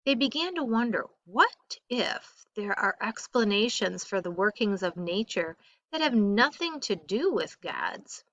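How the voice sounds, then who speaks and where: A woman teacher reading a school textbook aloud in a deliberate, emphatic way. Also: medium pitch, sing-song, fairly bright tone, dry recording, lo-fi sound.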